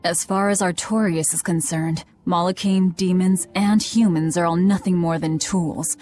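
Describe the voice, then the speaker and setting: A woman's voice: lowish voice, steady tone, normal speed, darkish tone, faint music behind, close to an everyday voice. A woman playing a cynical, bossy young female demon in a video game, curt and sardonic. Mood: bitter, cold. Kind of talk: video game cutscene acting.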